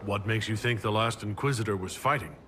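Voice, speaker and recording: An actor playing a composed, courteous leader in a fantasy video game, asking questions in a measured, businesslike way. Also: male voice, lowish voice, a bit bright, big-room sound, a little hiss or hum, close to an everyday voice. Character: composed male leader of an inquisition, courteous and purposeful. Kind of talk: video game dialogue acting.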